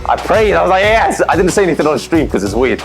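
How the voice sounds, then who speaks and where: A young man from Lebanon, telling his story as a streamer, candid and animated, full of fillers. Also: fairly high pitch, fast talker. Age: young adult. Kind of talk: personal interview storytelling. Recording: music bed under voice.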